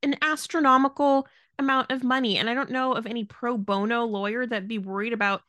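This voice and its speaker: Blunt, exasperated woman with higher-pitched voice. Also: steady tone, brisk, a bit bright, dry recording. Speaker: podcast co-host. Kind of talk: casual opinionated chat.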